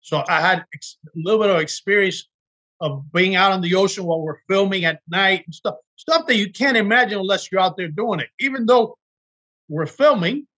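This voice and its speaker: A middle-aged man from Miami, a guest actor telling animated stories about auditions in a casual interview. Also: high-pitched, normal speed. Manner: animated storyteller, acts out quotes. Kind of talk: casual podcast interview storytelling.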